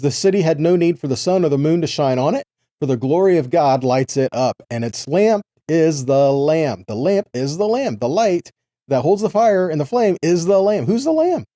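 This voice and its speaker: A man delivers a sermon in a somewhat high, relaxed and mellow voice. The sound is dry, with very little room in it. He moves between reading verses and explaining them earnestly, often checking in with a rhetorical question. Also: dry recording. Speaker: preacher teaching scripture. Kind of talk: sermon, Bible teaching with scripture readings.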